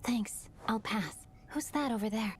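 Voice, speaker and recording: A woman's voice: fairly high pitch, unhurried, slight background noise, natural voice. Stoic, terse young swordswoman played by a woman. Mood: composed, deadpan. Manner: stoic, clipped, polite. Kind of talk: scripted game cutscene acting. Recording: music behind the voice.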